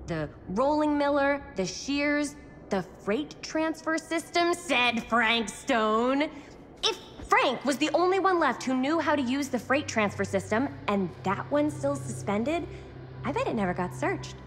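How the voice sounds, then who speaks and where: A woman's voice: sits high, lively intonation, unhurried, a bit bright. A woman playing a curious, determined young explorer in a video game, speaking in a focused way with an American accent. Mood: focused, intrigued. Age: young adult. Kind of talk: naturalistic game cutscene acting. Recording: echoing hall, faint ambient noise.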